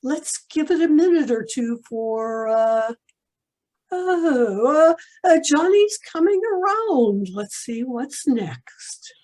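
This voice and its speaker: Female narrator for radio-style drama: wry, unhurried, hesitant informal delivery. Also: high voice, expressive, darkish tone. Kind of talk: informal live narration between scenes.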